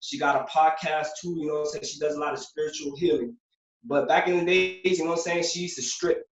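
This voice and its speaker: A young man from Puerto Rico talks fast and loose, slightly high-voiced, full of street slang and filler. He sounds set back from the mic in an echoey room, with a dull, lo-fi recording. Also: little pitch movement. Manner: streetwise, loose, fills with "you know what I'm saying". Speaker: guest, former prisoner. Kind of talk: casual interview storytelling. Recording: roomy, distant, dull lo-fi sound.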